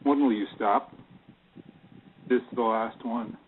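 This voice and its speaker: A man's voice: mid-range voice, steady tone, slow pace. A man playing a cornered, exasperated bank boss in an audio play, pleading and protesting. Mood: resigned. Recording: tinny phone-like sound, clear background noise.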